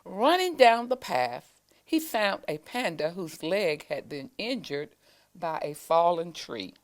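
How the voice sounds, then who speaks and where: A woman reading a children's storybook aloud, with deliberate, expressive phrasing, as school staff do for young listeners. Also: lowish voice. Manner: deliberate, expressive phrasing with pauses to show pictures.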